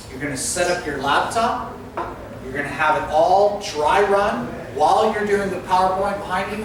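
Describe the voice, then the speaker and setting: A man gives an instructive talk to a room, speaking straight to his listeners in a coaching tone. His voice sits high. It rings with heavy echo and carries from a distance over clear background noise. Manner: instructive, coaching tone with direct address. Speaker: speaker giving a club talk. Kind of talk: presentation to an audience. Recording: echoey hall from far off with noise.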